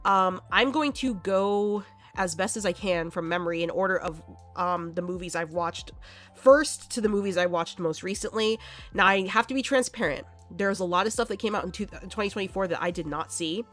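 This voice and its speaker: A young woman hosting a casual horror movie vlog, chatty and quick to laugh, sounding a bit congested from allergies. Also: medium pitch, a bit bright, faint music behind. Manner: chatty, laughs often, many fillers.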